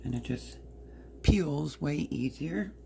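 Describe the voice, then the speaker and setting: A woman with a hoarse voice casually explaining a recipe step by step in her home kitchen. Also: sits low, animated, takes it slowly, dark tone, a little hiss or hum. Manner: chatty, explains step by step while working. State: hoarse, partly lost voice. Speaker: home cook. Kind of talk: casual cooking demonstration.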